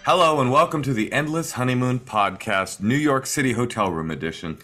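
A man's voice: medium pitch, animated, brisk, a bit bright, faint music behind. A man co-hosting a comedy podcast from a hotel room, chatting in a teasing, self-mocking way. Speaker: podcast co-host. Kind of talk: casual comedic banter.

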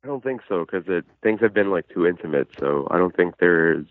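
A man's voice: deep voice, lively intonation, brisk, no room sound. A man calling in to a show for advice, talking casually over a phone line. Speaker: caller seeking relationship advice. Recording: dull, band-limited phone call.

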